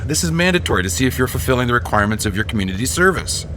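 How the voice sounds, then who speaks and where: A man's voice: mid-range voice, sing-song, racing, fairly bright tone, a little hiss or hum. A man playing a skeptical, matter-of-fact official asking questions in a comedy sketch. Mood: dry, businesslike. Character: skeptical, dry male official inspecting a facility. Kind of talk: sketch comedy audio drama.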